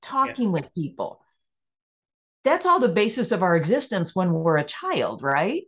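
Slow, thoughtful middle-aged woman. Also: fairly low pitch, big pitch swings, husky. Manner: reflective, acts out inner questions, laughs easily. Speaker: podcast guest. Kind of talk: conversational interview.

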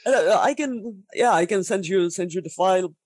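A woman's voice: medium pitch, normal speed, darkish tone, no room sound, clean studio sound. A Polish woman in her thirties, a game designer talking casually in a podcast interview, self-deprecating and laughing often. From Poland.

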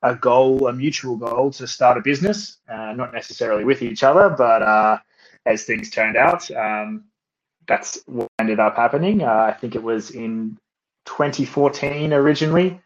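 An Australian man speaks as a podcast guest, his pitch sitting in an ordinary middle range. He talks casually and hesitantly, padding his answers with filler sounds. The recording is dull and lo-fi, like a call. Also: normal speed. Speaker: guest, startup co-founder. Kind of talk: casual interview chat.

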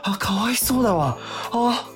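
An overwrought young office worker, music behind. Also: male voice, high-pitched, animated, moderate pace. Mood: despairing. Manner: overwrought, dramatic self-talk. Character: self-pitying, melodramatic young male office worker, prone to fantasy. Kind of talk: comic radio drama acting with inner monologue. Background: background music.

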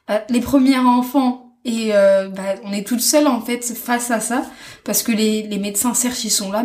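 A young woman in her twenties talks through her experience as an interview guest. Her delivery is halting and reflective, with sentences that trail off and restart. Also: fairly high pitch. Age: 20s. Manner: halting, thoughtful, trails off with soft fillers. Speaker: interview guest, stay-at-home mother. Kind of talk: casual personal testimony.

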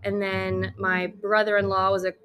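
A woman's voice: mid-range voice, monotone, normal speed, a bit bright, a little hiss or hum. A young woman from Houston, a yoga instructor guesting on a podcast, dry and teasing with a soothing voice. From Houston, Texas. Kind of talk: casual podcast chat.

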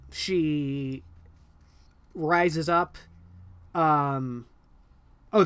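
A man co-hosting a casual podcast about a book, animated and hesitant, full of ums and restarts, reading passages aloud quickly. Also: on the high side, clear, resonant tone, no echo. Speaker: podcast co-host discussing a book. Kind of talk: casual conversational chat.

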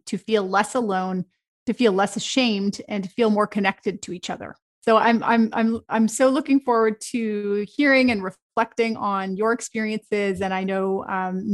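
A woman hosting an interview podcast, reading a scripted intro and then chatting with frequent restarts. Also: mid-range voice. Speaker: podcast host.

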